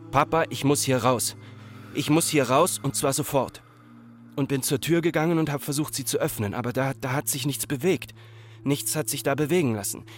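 An actor playing a farmer's anxious grown son in a radio drama, with an Austrian accent and a deliberate, repetitive, literary delivery. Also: male voice, mid-range voice, slight background noise, natural voice. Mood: panicked.

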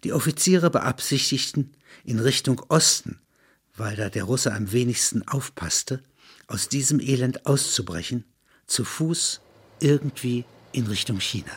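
A man's voice: medium pitch, lively intonation, unhurried, slightly rough. A man reading literary narration in an explanatory manner, breaking into spoken asides. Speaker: narrator and reader.

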